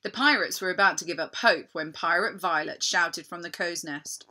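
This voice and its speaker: A woman narrating a children's story in an expressive, deliberate, dramatic storytelling manner. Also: on the low side. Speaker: storybook reader.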